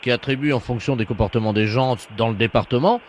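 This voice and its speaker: Man acting a solemn, deadpan official. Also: medium pitch, fast, close to an everyday voice. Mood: formal, deadpan. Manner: formal, deadpan, hesitant. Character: solemn, bureaucratic linesman relaying a referee's decision. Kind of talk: prank phone call. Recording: slightly noisy phone line.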